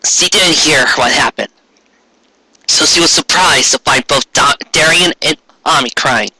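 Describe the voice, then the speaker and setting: A man's voice: a little high, a little slow, bright, forceful tone, husky, no echo, rough recording. A man narrating fan fiction as a dramatic reading, now and then stumbling over the words.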